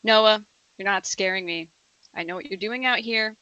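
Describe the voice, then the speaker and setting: A woman's voice: medium pitch, moderate pace, pushed and bright, clean tone, no echo, dull audio, lightly acted. A woman playing an uneasy, foul-mouthed young woman driver in an amateur horror table read. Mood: irritated.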